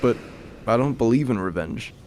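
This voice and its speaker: A man's voice: medium pitch, animated, moderate pace, natural voice. A man playing a weary former soldier in an audio drama, speaking in a plain, subdued way. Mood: resigned. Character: weary former American soldier, male protagonist narrating. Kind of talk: audio drama acting and narration. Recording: loud urban vehicle noise.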